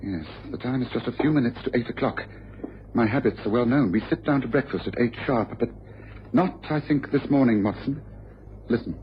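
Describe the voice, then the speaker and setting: A man's voice: mid-range voice, a little fast, lightly acted. An actor playing a brilliant, commanding male detective with precise, didactic delivery in old-time radio drama style. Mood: calm, deliberate. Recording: dull, noisy old radio recording.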